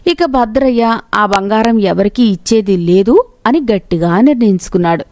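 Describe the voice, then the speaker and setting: A woman's voice: mid-range voice, moderate pace, darkish tone, dry recording, studio quality. A woman reading a scripted moral story as its narrator.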